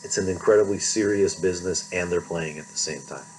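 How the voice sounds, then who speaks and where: A man's voice: low voice, brisk, slight background noise, poor audio. A middle-aged man giving an informal recorded philosophy lecture in a conversational way, often checking in with "right?".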